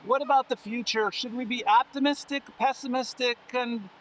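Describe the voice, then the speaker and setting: A man interviewing for an oral history project, asking questions haltingly with many fillers. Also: extremely high pitch, steady tone, poor audio.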